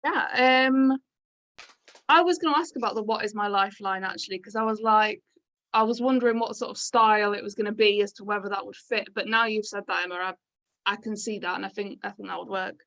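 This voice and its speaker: A woman's voice: mid-range voice, fairly quick, a bit bright. A woman talking casually as she gives her feedback on a script as one of its readers.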